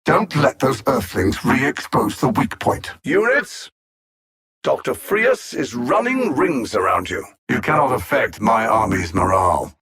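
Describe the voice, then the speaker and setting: Alien android supervillain, video game, cold, arrogant, precise, condescending, theatrically menacing, very dramatic pitch swings. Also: male voice, very animated, a little slow, fairly bright tone, slightly rough, full character voice. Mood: commanding. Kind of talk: scripted video game villain dialogue.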